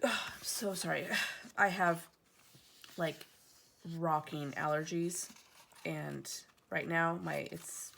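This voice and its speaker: A woman's voice: low voice, steady tone, slow pace, vocal fry, pauses often, a bit bright, slight background noise. A woman in her thirties, chatting casually and rambling while decorating her planner at home, a little stuffy from allergies. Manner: chatty, rambling, digresses often. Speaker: planner vlogger. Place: home, indoors.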